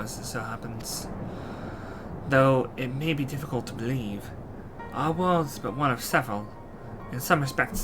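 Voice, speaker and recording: A man's voice: medium pitch, poor audio. A man streaming a video game, reading its dialogue aloud and adding his own commentary, sometimes stumbling and repeating words. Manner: acts out on-screen dialogue, with slips and repeats. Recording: game music under the voice. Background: video game music.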